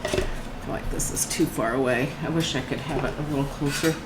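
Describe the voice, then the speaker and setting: Low-voiced, slightly croaky older woman. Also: fairly quick. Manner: soft-spoken, thinks aloud with trailing restarts. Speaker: painting channel host. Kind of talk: casual talk while painting, faith reflection. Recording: echoey room with air-conditioner hum.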